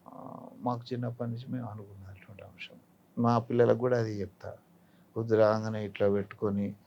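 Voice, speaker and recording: A man's voice: lowish voice, creaky, warm tone, dull audio. A middle-aged or older man with a Telangana Telugu accent, talking as an interviewed actor, reflecting and giving advice through examples. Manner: didactic, illustrating points with examples.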